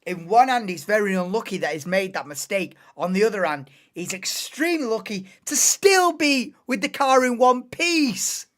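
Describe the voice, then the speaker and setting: A woman hosting a live race commentary stream, excitable and bursting into shouts and laughs, her voice going hoarse. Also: medium pitch, normal speed, hardly any pauses. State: losing voice, hoarse.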